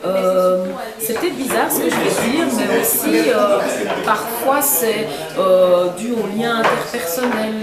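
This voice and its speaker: A woman teacher speaking at a public debate, pausing often with filler sounds as she thinks aloud. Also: mid-range voice. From came to Belgium as a toddler. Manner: hesitant, many filler pauses, thinking aloud. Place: public meeting hall. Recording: echoey hall, distant mic, loud crowd.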